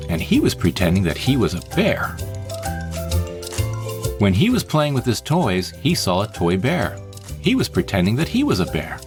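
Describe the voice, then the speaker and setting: Low-voiced man explaining step by step. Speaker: podcast host and story producer. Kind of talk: conversational podcast talk and step-by-step tutorial.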